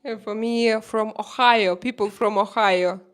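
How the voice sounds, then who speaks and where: A woman from Russia with a Russian accent, hosting a casual livestream chat, talking haltingly with many fillers and frequent laughter. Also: mid-range voice, fairly even intonation. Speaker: livestream host.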